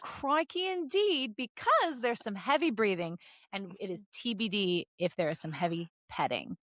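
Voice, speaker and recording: A woman's voice: medium pitch, animated, moderate pace, fairly bright tone, no echo. Playful woman podcaster, dry close sound. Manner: playful, giggly, bawdy joking. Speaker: podcast co-host. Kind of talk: casual comedic chat, reading fan fiction aloud.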